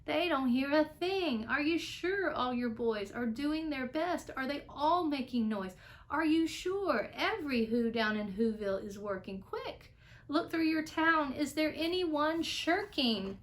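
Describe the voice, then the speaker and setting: A woman's voice: high-pitched, animated, measured pace, voice pushed up. A woman voicing a kindly, earnest male elephant in a storybook, urgent and imploring.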